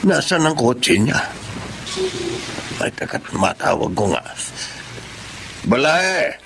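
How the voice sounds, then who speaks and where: Casting: chatty, inquisitive older dad for TV drama, lively intonation, faint ambient noise. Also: male voice, mid-range voice, takes it slowly, creaky, full character voice. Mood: puzzled. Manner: chatty, questioning. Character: friendly, inquisitive father of the groom. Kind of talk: Filipino drama acting.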